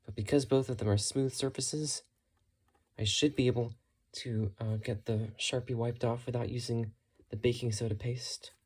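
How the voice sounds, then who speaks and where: Mellow, casual hobbyist vlogger. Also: mid-range voice, fairly even intonation, moderate pace, slightly rough. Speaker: hobbyist vlogger showing thrift-store radio finds. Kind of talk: casual unscripted show-and-tell.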